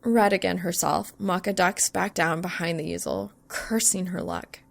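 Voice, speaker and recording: A woman reading a scripted story aloud as narrator, with an expressive, dramatic delivery. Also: fairly low pitch, normal speed, creaky. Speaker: fan fiction narrator.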